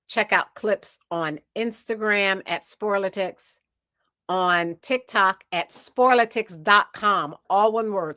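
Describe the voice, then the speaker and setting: A woman's voice: medium pitch, sharp tone, no echo, clean studio sound. An American woman hosting a live talk show, firmly moderating her panel.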